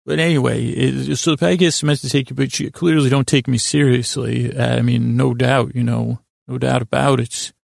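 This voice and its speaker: A man's voice: medium pitch, steady tone, moderate pace, vocal fry, few pauses, no echo, studio quality. A man hosting a bedtime podcast, rambling in a goofy, self-deprecating way, stammering and interrupting himself. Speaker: podcast host.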